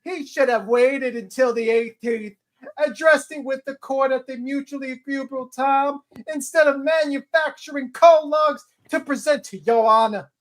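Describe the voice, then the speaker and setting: A woman playing a flustered, indignant litigant who pleads her case in a halting, repetitive way in a dramatic reading of a court hearing. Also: high voice, fairly even intonation, natural voice. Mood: indignant, pleading.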